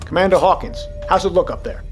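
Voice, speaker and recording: An actor playing a calm, seasoned submarine captain, commanding and reassuring, in an audio drama. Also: male voice, high-pitched, fast talker, soft background music. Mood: brisk command.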